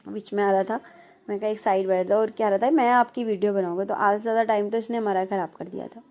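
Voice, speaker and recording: A woman in her thirties from India talks over her home vlog in a relaxed, chatty voice-over, teasing herself now and then. Her voice is warm and mellow at a middling pitch. A slight hoarseness sits in her throat throughout. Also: fast talker. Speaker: vlogger. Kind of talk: casual voice-over narration.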